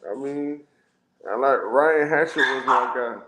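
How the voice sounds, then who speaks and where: Chill ex football player mumbling short answers, mid voice, loud noise behind him on the call. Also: male voice, medium pitch, a little slow. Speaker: former pro football player, guest. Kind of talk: casual interview.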